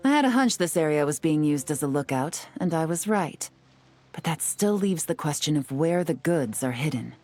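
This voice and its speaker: An actress playing a confident, sharp young female investigator in a video game, speaking in an assured, articulate, measured way. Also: deep voice, lively intonation. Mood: focused.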